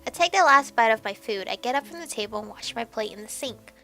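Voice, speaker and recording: High, bright-voiced woman reading aloud. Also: fast talker, creaky. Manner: animated, reacting and stumbling over words. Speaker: gamer reading a visual novel aloud for a video. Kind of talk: expressive read-aloud narration with asides. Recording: background music behind voice. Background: game music.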